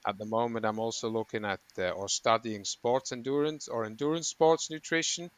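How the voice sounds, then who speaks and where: A man's voice: medium pitch, moderate pace, no echo. A middle-aged man from Switzerland, a running coach, talking in an interview with rambling, self-correcting explanations. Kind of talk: conversational interview.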